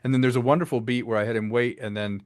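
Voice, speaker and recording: A man's voice: medium pitch, steady tone, racing, no room sound, studio quality. A man talking casually and enthusiastically as a writer and director being interviewed about his work. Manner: chatty, enthusiastic, acts out bits of dialogue.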